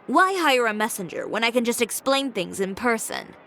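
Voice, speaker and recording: A woman's voice: fairly high pitch, expressive, normal speed, pushed and bright, a little hiss or hum. A woman playing a blunt, self-assured young fashion designer in a video game, composed and direct. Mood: brisk, dismissive.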